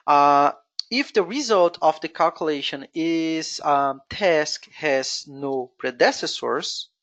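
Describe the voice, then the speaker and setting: A Brazilian-accented presenter whose first language is Portuguese, walking through a software screen-share tutorial step by step and thinking aloud as they go. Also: medium pitch, slow. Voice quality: explains step by step, thinking aloud with frequent "you know". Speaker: webinar presenter.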